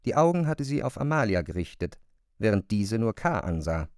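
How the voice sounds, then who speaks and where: Measured male narrator, very dry sound. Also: fairly low pitch, sing-song, a little mellow, clear, resonant tone, no echo. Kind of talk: literary scripted narration.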